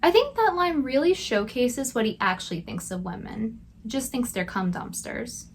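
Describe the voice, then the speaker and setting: A woman's voice: a little high, animated, normal speed, a little hiss or hum. A woman giving scripted commentary with sarcastic, mocking asides. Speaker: video commentator.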